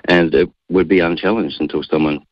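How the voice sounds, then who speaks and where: A man's voice: deep voice, fairly even intonation, brisk, no echo, lo-fi sound. A middle-aged man with a New Zealand accent, answering radio interview questions assertively and defiantly. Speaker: guest.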